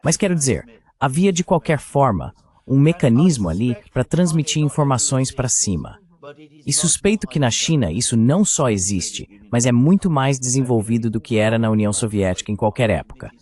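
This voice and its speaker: Man in measured political discussion. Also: medium pitch, expressive, a little mellow. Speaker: AI voice reading a translated political commentator. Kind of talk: political discussion, dubbed translation.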